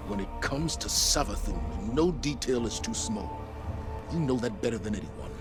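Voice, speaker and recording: A man's voice: medium pitch, lively intonation, moderate pace, over music, natural voice. A man voicing a stern veteran military commander in a video game, speaking firmly and with authority. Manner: firm, authoritative, clipped. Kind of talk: video game cutscene voice acting. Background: orchestral game score.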